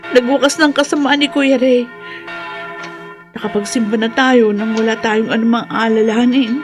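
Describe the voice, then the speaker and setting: Woman playing high-voiced, timid grateful daughter. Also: steady tone, normal speed, music in the background, lightly acted. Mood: relieved, timid. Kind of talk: Filipino radio drama acting.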